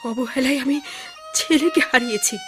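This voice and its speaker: Kusum, a high-voiced, tearful village wife. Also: female voice, lively intonation, normal speed, over music. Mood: determined, pleading. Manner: emotional, breaks off and repeats. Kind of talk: radio drama acting.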